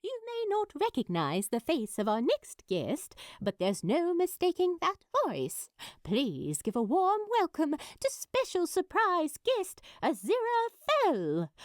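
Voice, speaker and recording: Woman as a polished, high-pitched talk-show hostess. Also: dry recording, clean studio sound, full character voice. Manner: announcing, presentational. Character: composed, polished female TV talk-show host.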